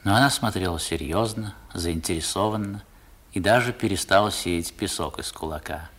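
A man acting as the narrator of a 1960s radio drama, recalling his boyhood in measured, expressive literary prose. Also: low voice. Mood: reflective. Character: grown male narrator recalling his seaside boyhood adventure.

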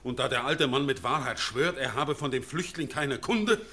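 A grave nobleman recounting dark news in an old radio play, his bright, forceful voice rising and falling expressively. He speaks in a solemn, measured way. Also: medium pitch, lively intonation. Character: grave middle-aged Swiss nobleman, sorrowful messenger. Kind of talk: classic German radio play acting.

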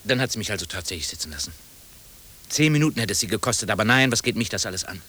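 An actor playing a let-down, grimly resigned office employee in a radio play, deliberating aloud, on a hissy old recording. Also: male voice, lowish voice, expressive, very fast, sharp tone. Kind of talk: German radio play acting.

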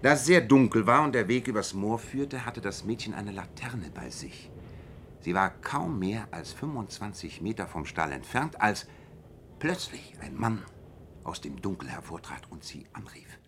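An actor playing a keen, self-assured detective who recounts a case precisely and deliberately in a radio play. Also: male voice, fairly low pitch, very animated, fairly bright tone, a little hiss or hum. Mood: measured, intent. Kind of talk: German radio play acting, narrative.

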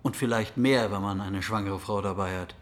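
An actor as a low-voiced wary guide. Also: male voice, steady tone, moderate pace, natural voice. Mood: wary, practical. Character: wary, practical male escape guide. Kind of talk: radio drama acting.

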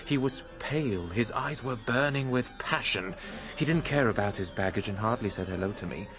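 A man acting in a radio drama as a composer's loyal friend, telling the story in a measured, reflective way. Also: fairly low pitch, lively intonation, over music, close to an everyday voice. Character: male theatre impresario, loyal, exasperated friend of a composer. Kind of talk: radio drama narration and dialogue.

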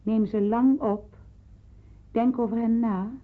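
A woman's voice: a little high, fairly even intonation, slow. A woman playing a kindly but grave senior nurse in a radio drama, speaking earnestly and emphatically, as if counselling. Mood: grave, urging. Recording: muffled narrow-band old radio recording.

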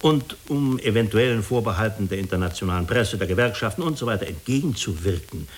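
A man playing a diplomatic, persuasive agency official in a German radio drama, speaking formally and deliberately with small hesitant pauses. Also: lowish voice, expressive, close to an everyday voice. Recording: clearly hissy radio recording.

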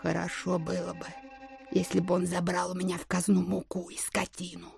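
An old widow who owns a country estate, superstitious and slow to understand. Her voice crackles with creak and she speaks in a folksy, fretful way. Music plays clearly behind this audio drama. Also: fairly low pitch, monotone. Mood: scheming, mild. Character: superstitious, dim, stubborn old widow landowner. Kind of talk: audio drama acting of classic literature.

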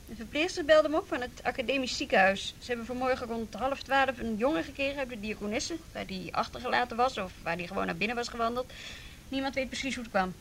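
Radio drama: brisk female youth police officer, higher voice, matter-of-fact, slight background noise. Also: fairly bright tone, poor audio. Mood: intrigued, matter-of-fact. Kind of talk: Dutch radio play acting, naturalistic dialogue.

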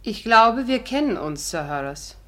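A woman's voice: a little high, big pitch swings, unhurried, fairly bright tone. An actress playing a self-assured, witty lady adventurer, poised and playful, in a 1950s German radio play. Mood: pointed, triumphant. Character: self-assured, sharp-witted lady adventurer with teasing humour.

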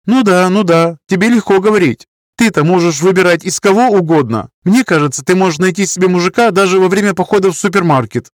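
Man voicing an anxious, high-pitched young woman. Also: fast talker, no echo, studio quality, close to an everyday voice. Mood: aggrieved. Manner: pleading, self-justifying, wordy. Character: anxious, defensive woman of nearly thirty, aspiring writer. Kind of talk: audiobook character dialogue.

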